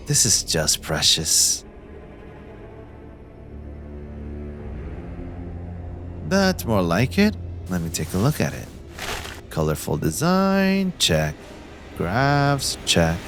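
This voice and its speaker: A man performing a young mafia heir in an audio drama, possessive and manipulative. His low voice swings expressively as he taunts with polished, condescending courtesy. Soft music plays behind him. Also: heavily acted. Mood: amused, sarcastic. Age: young adult. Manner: condescending, smoothly taunting, theatrically polite. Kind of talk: scripted ASMR audio roleplay addressed to the listener.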